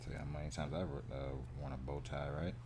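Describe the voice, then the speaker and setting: A man's voice: rumbling low, flat delivery, fairly quick, gravelly, background noise. A man chatting casually as he works on his game art, muttering to himself and exclaiming in a self-deprecating way. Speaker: indie game developer and animator streaming his work. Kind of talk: casual devlog chat while drawing, thinking aloud.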